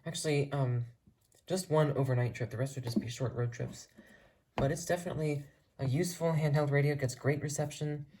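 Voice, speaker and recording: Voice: mid-range voice, steady tone, fairly quick, heavy background noise. A person with an earnest, rambling, enthusiastic way of talking, giving a casual tour of a hobby collection. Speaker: hobbyist video creator showing a radio collection.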